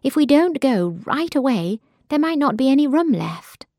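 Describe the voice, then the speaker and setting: A woman's voice: sits high, big pitch swings, normal speed, darkish tone, no echo, clean studio sound, pitched above the performer's own voice. A woman voicing an urgent young daughter begging her mother to flee. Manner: pleading, urgent.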